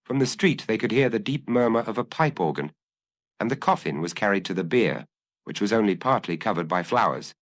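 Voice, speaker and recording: Low-pitched man narrating with lively intonation. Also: brisk, dry recording. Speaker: audiobook narrator. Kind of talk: scripted detective story narration.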